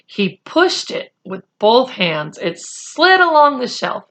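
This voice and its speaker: A woman reading a children's chapter book aloud in a deliberate, expressive storytelling style. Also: on the high side. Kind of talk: read-aloud storytelling narration.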